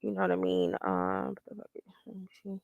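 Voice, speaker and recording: A woman in her forties hosts a loose livestream chat, talking in a blunt, profane and wandering way. Her voice has a gravelly, crackly edge. The sound is close and dry, with no room echo. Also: lowish voice, very animated, normal speed, darkish tone, muffled recording. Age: 40s. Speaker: livestream host. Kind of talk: casual livestream chat, reading viewer comments.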